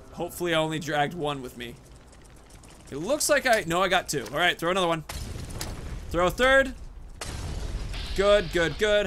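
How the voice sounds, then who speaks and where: A man's voice: on the high side, sharp tone, a little hiss or hum. A man hosting a gaming video, giving casual commentary and thinking aloud about his moves and choices. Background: video game music.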